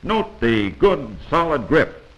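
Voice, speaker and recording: A man's voice: medium pitch, big pitch swings, slow, fairly bright tone, husky, poor audio. An American man narrating a 1940s instructional film in a commanding, corrective manner, on a vintage film soundtrack.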